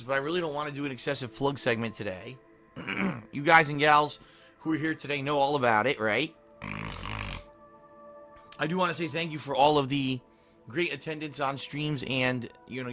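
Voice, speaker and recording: Hoarse man streamer, bright tense voice. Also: medium pitch. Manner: rambling, frequent "okay?" check-ins and throat clearing. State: hoarse from a swollen throat. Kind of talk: casual pre-stream schedule talk to chat.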